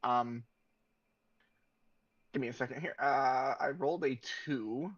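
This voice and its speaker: A man's voice: medium pitch, measured pace, dry recording. A man talking casually as a player in a tabletop game, recorded on a dull mic.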